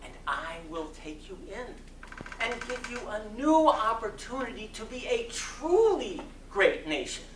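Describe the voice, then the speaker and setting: A man giving a dramatic reading of a sermon, oratorical and impassioned, with deliberate phrasing and strong emphasis. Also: very high-pitched, sing-song, fairly bright tone. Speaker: reader of a sermon. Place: community center hall. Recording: distant mic in echoey room, faint noise.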